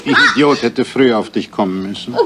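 An actor playing a menacing, mocking thug who toys with a victim in a crime radio play, with false sweetness. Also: male voice, mid-range voice, brisk, natural voice. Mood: mocking menace. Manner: mocking, threatening. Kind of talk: crime radio drama acting. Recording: loud noise, old radio play.

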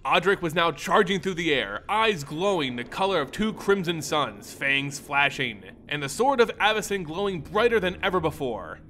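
Male storyteller for scripted fantasy. Expressive, dramatic, a little higher-voiced, lively intonation. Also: moderate pace, few pauses, a little hiss or hum. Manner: theatrical, expressive.

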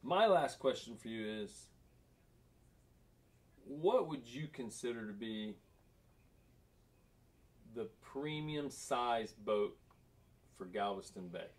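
A man's voice: medium pitch, expressive, takes it slowly, pauses often. A young man hosting a fishing show, politely reading viewer questions to his guest. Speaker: show host. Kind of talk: interviewing.

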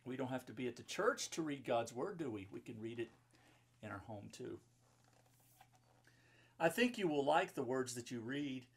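Male pastor, 50s, mid-pitched with a husky edge, telling Bible stories to children in simple, explanatory language. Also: expressive, moderate pace, long pauses. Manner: simple child-directed phrasing with explanatory asides. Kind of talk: children's Bible storybook reading and talk. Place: book-lined room indoors.

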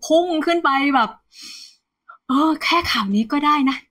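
A woman in her thirties, an economist guest, explaining the market in a lively, conversational way over a video call. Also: high voice, moderate pace. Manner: animated, refers to herself by nickname, mixes English terms. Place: plain indoor room, video call.

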